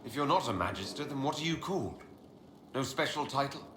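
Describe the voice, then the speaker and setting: A man's voice: medium pitch, animated, a little fast, roomy sound, background noise, natural voice. A man playing a curious, earnest fantasy adventurer in a video game, asking things in a plain, inquisitive way. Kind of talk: video game dialogue acting.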